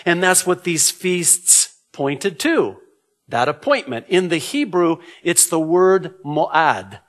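A middle-aged man whose native language is Arabic teaches from a church lectern. His voice sits a little high for a man. He speaks very slowly, explaining each point in a measured way and restating key words. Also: sing-song. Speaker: pastor. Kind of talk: sermon, Bible prophecy teaching. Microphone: gooseneck lectern microphone.

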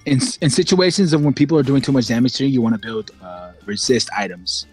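A man's voice: medium pitch, fast talker, a little mellow, faint music behind. A man coaching a video game player casually, giving slangy, instructive callouts.

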